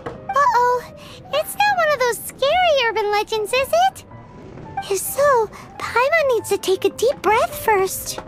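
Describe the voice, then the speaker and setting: A woman voicing a tiny, excitable fairy girl companion in a video game, with a squeaky childlike voice. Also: measured pace. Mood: startled. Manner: excitable, exclaiming, refers to herself by name. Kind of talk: video game character acting. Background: music.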